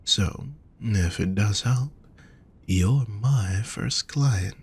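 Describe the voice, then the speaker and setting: Casting: male performer as a gentle demon boyfriend for intimate audio roleplay; husky, unhurried, tenderly teasing. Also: on the low side, heavily acted. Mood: gentle, curious.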